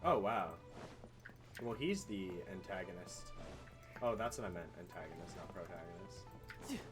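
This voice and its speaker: A streamer with a voice around a low 107 hertz chats casually during live gameplay. The talk is wry and sweary, with game music loud behind it and keys clattering. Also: animated, a little slow.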